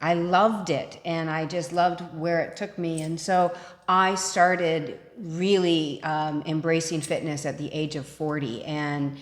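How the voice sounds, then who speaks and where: A woman's voice: deep voice, steady tone, slow. A woman in her fifties co-hosting a show, chatting casually and conversationally. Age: 50s. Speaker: co-host. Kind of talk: casual chat. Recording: echoey room with long reverb.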